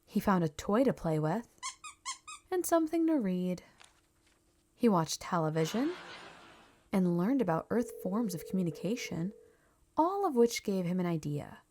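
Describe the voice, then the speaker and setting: Slow-paced woman reading a children's story. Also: mid-range voice, slight background noise. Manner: measured, expressive storytelling for children. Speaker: storybook reader. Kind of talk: scripted children's story narration.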